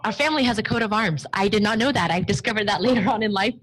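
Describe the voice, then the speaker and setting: A woman's voice: mid-range voice, racing, fairly bright tone, breathy edge. A woman in her thirties, born in Romania with Romanian as her first language, telling her family story in a chatty conversational interview. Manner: chatty, storytelling, laughs easily. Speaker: guest.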